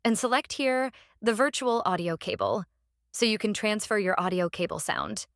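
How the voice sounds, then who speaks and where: A woman narrating a casual software tutorial, explaining step by step in a halting way and repeating phrases. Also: mid-range voice, expressive, no echo, studio quality. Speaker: tutorial presenter.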